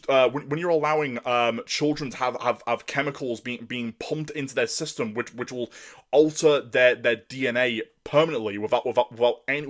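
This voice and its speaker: A man with a deep voice delivering an angry, profane rant, often stumbling and restarting his words. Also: a bit bright. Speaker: commentator. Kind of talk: ranting monologue.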